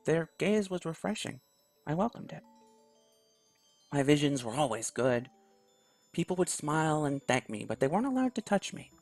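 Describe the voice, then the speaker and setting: Guy with a gravelly voice softly reading out a visual novel, faint music behind. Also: fairly high pitch, very animated, moderate pace, long pauses, dry recording. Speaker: video game YouTuber. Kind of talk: reading a visual novel aloud in first person.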